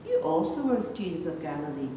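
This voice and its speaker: A woman's voice: on the low side, very animated, fast. A woman reading several parts in a dramatised Bible reading. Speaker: scripture reader of several minor parts. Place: large reverberant church. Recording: very echoey church, distant mic, faint noise.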